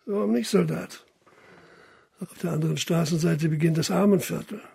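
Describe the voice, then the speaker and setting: An actor playing a wry, knowing puppeteer in a radio drama, speaking in a measured, teasing way with a husky voice. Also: male voice, fairly high pitch, darkish tone, full character voice. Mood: dry, wry. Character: wry, unhurried male puppeteer of the old town, knowing and secretive. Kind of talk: German radio play acting.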